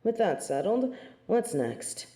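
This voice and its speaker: A woman's voice: medium pitch, very animated, takes it slowly, loud music behind the voice. A woman playing a snobbish, merciless angel of judgement in an audio drama, speaking formally with imperious disdain. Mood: cold disdain. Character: haughty, merciless female angel of judgement, snobbish and self-righteous. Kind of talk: scripted audio drama acting. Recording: roomy, echoing sound.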